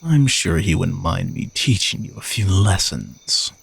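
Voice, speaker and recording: A man's voice: deep voice, huge pitch swings, a little slow, vocal fry, husky, heavily acted. A man playing an arrogant, sardonic knight who is a reluctant royal bodyguard, teasing and condescending in a romantic audio roleplay. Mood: exasperated, smug. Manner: teasing, dry, exasperated sighs, condescending.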